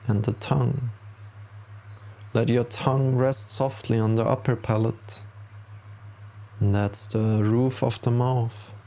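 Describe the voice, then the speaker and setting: Slow, gravelly-voiced man guiding meditation. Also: medium pitch. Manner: soothing, unhurried, gentle instructions with long pauses. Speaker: yoga nidra instructor. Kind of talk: guided meditation, slow body scan.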